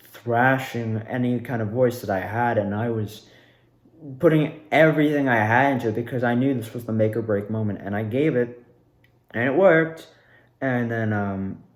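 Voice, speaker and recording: A young man recounts his stage performance casually and conversationally. His pitch is middling, and the room rings with echo. He slips into acted quotes as he retells things. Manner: animated retelling, acts out quoted lines. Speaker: amateur actor recounting own performance. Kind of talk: casual self-interview storytelling. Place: indoors at home. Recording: echoey room.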